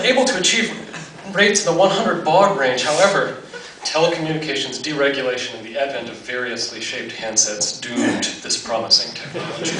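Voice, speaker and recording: A man in his thirties reads a mock-scientific paper aloud in a deadpan, formally academic way. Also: fairly high pitch. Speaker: researcher presenting a paper. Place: large dark auditorium, on stage. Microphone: podium gooseneck, captured by distant audience camera. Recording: echoey auditorium from far off, noisy.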